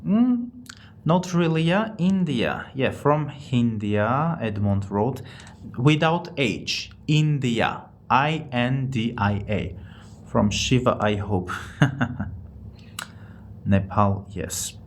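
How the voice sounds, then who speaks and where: A man with an Armenian accent hosts a casual live English lesson. He speaks at a mid pitch and rephrases each point slowly for his learners. A faint hiss sits under his voice. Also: lively intonation. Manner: slow, repeats and rephrases words for learners. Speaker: language teacher on a live stream.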